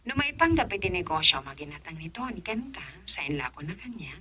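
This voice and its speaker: An actress playing a middle-aged mother, emotional and husky, with an Ilocano Filipino accent in a radio drama. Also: on the low side, sing-song, brisk, bright, forceful tone, heavily acted. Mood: guarded, worried.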